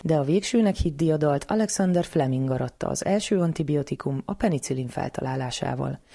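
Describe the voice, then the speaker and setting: A woman's voice: deep voice, lively intonation, vocal fry, dark tone, slightly rough. A woman hosting a science programme, narrating from a script and putting questions to a guest. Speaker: science programme host and interviewer.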